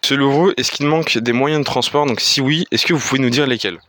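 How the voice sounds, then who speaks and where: A man's voice: medium pitch, a little fast, a bit bright, slight background noise. A young man asking prepared questions as a trainee street interviewer. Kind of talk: prepared vox pop questions.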